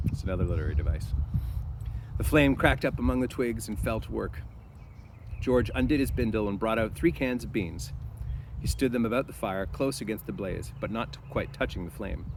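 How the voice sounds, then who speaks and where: Casual male teacher over noisy background. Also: fairly low pitch. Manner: casual, thinking aloud, frequent throat clearing. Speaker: teacher reading a novel aloud. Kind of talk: read-aloud with informal commentary.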